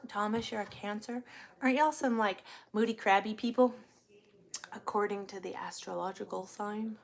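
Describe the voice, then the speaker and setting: A woman in her forties hosts a casual livestream, replying to comments as they come in. She talks in a candid, rambling way, like someone thinking out loud with regular viewers. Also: mid-range voice, normal speed, gravelly. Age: 40s. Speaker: livestream host, truck driver. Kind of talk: casual live chat answering viewer comments.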